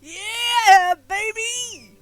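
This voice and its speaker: A woman giving casual playthrough commentary as a streamer, blunt, playful and excitable. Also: extremely high pitch, very slow, a little hiss or hum.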